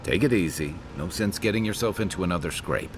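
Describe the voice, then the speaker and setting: An actor playing a gruff, practical companion in a fantasy game, terse and matter-of-fact. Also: male voice, low-pitched, animated, brisk, noisy background. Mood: steady, reassuring. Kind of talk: fantasy video game dialogue. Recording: music behind the voice. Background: game music.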